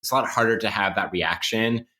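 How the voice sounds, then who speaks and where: A man's voice: on the low side, fairly quick, pushed and bright. A man talking casually and analytically on a podcast, wry and academic, circling around his points as he explains. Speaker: podcast co-host playing interview guest.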